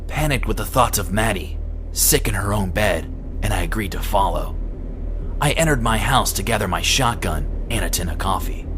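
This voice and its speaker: A man reading a first-person horror story as an amateur narrator, in a dramatic, deliberate way. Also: on the low side, noisy background.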